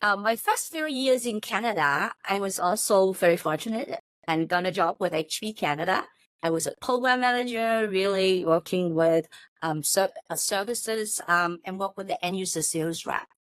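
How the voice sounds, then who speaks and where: A woman's voice: mid-range voice, moderate pace, a bit bright, dry recording. A woman from Hong Kong with a Chinese accent, answering interview questions and telling personal stories as a native Chinese speaker. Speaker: interview guest, technology company chief of staff.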